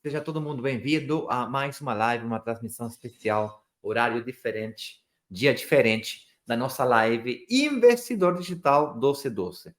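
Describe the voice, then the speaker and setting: A man's voice: medium pitch, moderate pace, hardly any pauses. A man from Chile with a Chilean Spanish accent, whose first language is Spanish, hosting a live stream in a chatty, joking way and sometimes searching for words. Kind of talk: casual live stream talk.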